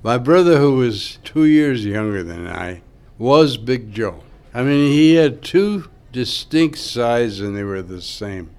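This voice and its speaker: An older man whose first language is Greek, a sculptor musing slowly and thoughtfully about his work. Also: mid-range voice, big pitch swings, darkish tone, smooth. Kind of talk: interview reflection.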